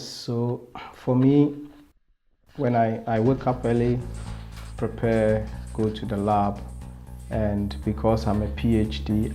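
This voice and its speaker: A young man from Ghana explains his doctoral work in a steady, matter-of-fact way. His voice is low, dark and nearly flat in tone. Light music sits under his speech. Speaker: PhD student interviewee. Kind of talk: casual explanatory account.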